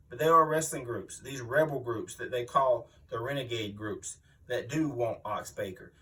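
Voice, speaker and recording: A man's voice: on the high side, pushed and bright, far from the mic, low-quality recording. A man playing a boastful, mean veteran wrestling villain, blustering and aggrieved as if giving an interview. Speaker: reader voicing an interviewed wrestler. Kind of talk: dramatic magazine interview reading, wrestling promo.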